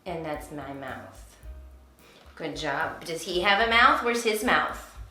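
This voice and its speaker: A woman's voice: deep voice, expressive, takes it slowly, bright, forceful tone, faint music behind. A woman, a homeschooling mother, talking in an explanatory, encouraging way as she gives teaching tips in a vlog. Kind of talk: instructional vlog talk with read-aloud asides to a child.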